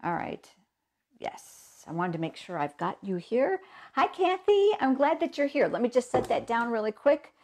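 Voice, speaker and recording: A woman's voice: a little high, sing-song, moderate pace, a little mellow. Froggy-voiced woman hosting a live stream. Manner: chatty, greets viewers by name. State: froggy voice from a cold. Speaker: host of a live stamping show. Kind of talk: casual live-stream chat.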